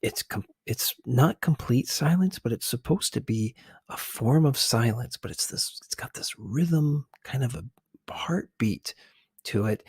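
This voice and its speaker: A man hosting a conversational ASMR commentary in a hushed, husky voice, halting as he searches for words. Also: mid-range voice, lively intonation, no echo, studio quality. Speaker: video host.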